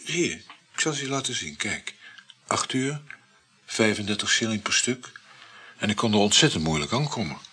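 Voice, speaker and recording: An actor playing a jealous, sceptical lover in a Dutch radio play, terse, questioning and needling. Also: male voice, lowish voice, expressive, normal speed, pauses often, sharp tone, husky, exaggerated voice. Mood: insistent, sulky. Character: jealous, sceptical older lover of a married woman.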